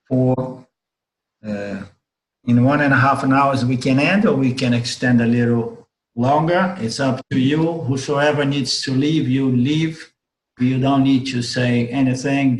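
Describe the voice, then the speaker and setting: A man teaching an online spiritual class. He speaks with a strained voice, restarts his sentences often and keeps clearing his throat. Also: mid-range voice, reverberant, low-quality recording. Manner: slow, halting delivery with frequent restarts and throat clearing. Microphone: video call.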